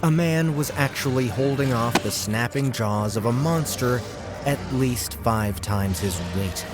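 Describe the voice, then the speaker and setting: A man's voice: medium pitch, unhurried. A man narrating an audiobook with dramatic, scripted delivery. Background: loud music.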